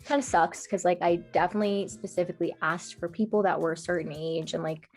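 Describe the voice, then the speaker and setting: A woman's voice: on the low side, fairly even intonation, fast talker, a little mellow, soft background music. A woman, chatting informally on a video call and often saying "like". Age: early 20s. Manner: informal, peppered with "like". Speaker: host and contestant of a dating show. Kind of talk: casual chat on a video call. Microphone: video call microphone.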